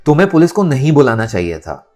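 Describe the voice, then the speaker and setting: A man's voice: medium pitch, sing-song, quick pace, mellow, faint music behind, voice pushed up, lightly acted. A man voicing an anxious middle-aged mother who worries and scolds, in an audio novel. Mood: worried scolding.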